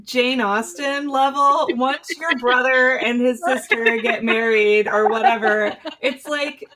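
A woman's voice: a little high, fairly even intonation, slow, over a noisy crowd. An American woman co-hosting in a casual, chatty style. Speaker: co-host. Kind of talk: casual chat.

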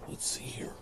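A man's voice: mellow, slight background noise. A man playing an overly eager, irreverent worship leader with a slangy, upbeat manner in a comedy radio sketch. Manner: slangy, upbeat, reads letters aloud.

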